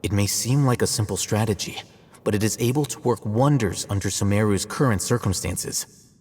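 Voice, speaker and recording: An actor playing a calm, measured male academy scribe who explains things in a scholarly way in a video game. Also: fairly low pitch, husky. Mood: explaining. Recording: long reverb with game music.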